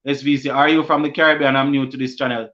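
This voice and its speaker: A Jamaican man hosting a casual cricket live stream, reading viewer comments aloud and answering them, often halting and restarting mid-sentence. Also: mid-range voice, flat delivery, fairly bright tone, dull audio. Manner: halting, many restarts and fillers.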